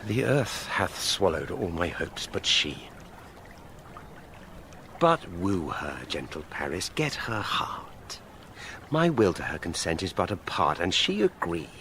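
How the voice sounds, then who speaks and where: A man playing an aging, authoritative noble father in a Shakespearean audio drama, with a measured, courtly delivery. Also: low-pitched, sing-song, pushed and bright, a little hiss or hum, heavily acted. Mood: hospitable. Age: older. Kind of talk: Shakespearean full-cast audio drama acting.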